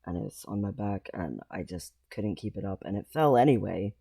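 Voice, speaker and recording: A man talking casually in a personal vlog, rambling and halting, with frequent pauses. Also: lowish voice, gravelly, darkish tone, dry recording. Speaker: vlogger.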